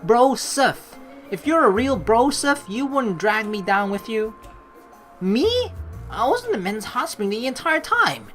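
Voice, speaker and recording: A woman's voice: fairly high pitch, very animated, a little slow, a bit bright. A young woman hosting a let's play, teasing and slangy as she mixes casual commentary with reading the game's narration aloud. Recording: faint game music behind the voice.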